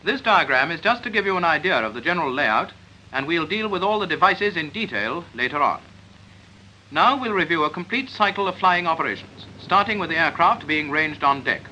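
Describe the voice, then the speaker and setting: A man's voice: a little high, lively intonation, brisk, bright, forceful tone. A British man reading a scripted 1940s instructional film narration in a clipped, explanatory manner. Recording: noisy 1940s film soundtrack.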